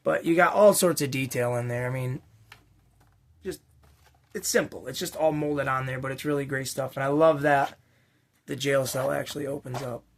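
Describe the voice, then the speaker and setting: A man with a slightly raspy voice hosting a casual, unscripted unboxing vlog for toy collectors, rambling along with slangy, enthusiastic asides. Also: medium pitch, steady tone, normal speed, a little hiss or hum. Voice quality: a little raspy. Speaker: toy collecting channel host.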